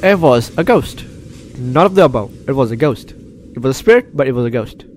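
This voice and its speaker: A man's voice: medium pitch, lively intonation, fairly quick, darkish tone, a little hiss or hum. A man with the flu doing let's play commentary, reading game text aloud and joking in a repetitive, bantering way. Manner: jokey, repeats phrases, banters with characters. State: sick with flu. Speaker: gaming YouTuber.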